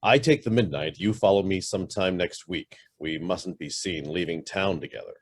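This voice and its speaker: Radio drama: male actor as wary, curt gentleman fugitive, low-pitched, clipped commands, close dry recording. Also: lively intonation, normal speed, husky, dry recording, full character voice. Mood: curt, guarded. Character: curt, wary male fugitive master ordering his valet. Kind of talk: amateur radio play acting.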